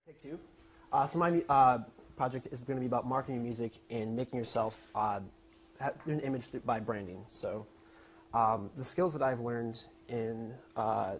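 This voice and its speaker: A young man giving an informal slide presentation in a hesitant, halting manner. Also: medium pitch, a little hiss or hum. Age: young adult. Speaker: student presenter.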